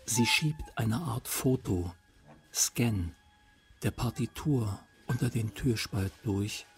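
A man, a composer, narrating his thoughts on his work in a measured, reflective way. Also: on the low side, lively intonation. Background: music.

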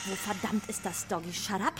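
A woman's voice: mid-range voice, fairly even intonation, brisk, close to an everyday voice. A woman playing a vain, hot-tempered villainous boss in a children's radio play, imperious, scornful and theatrical.

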